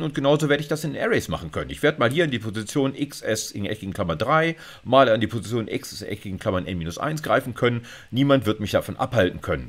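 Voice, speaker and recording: A middle-aged man giving an informal explanatory lecture, talking conversationally with frequent little check-in tags. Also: medium pitch. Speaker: university lecturer.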